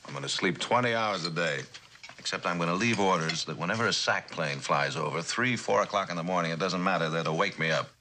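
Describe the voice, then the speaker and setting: Casting: weary, wry pilot and family man, low-voiced, dry halting musing, vintage TV drama with noisy sound. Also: sharp tone. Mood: wistful, wry. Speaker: actor. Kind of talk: 1960s television drama acting. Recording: noisy, clicky old television soundtrack.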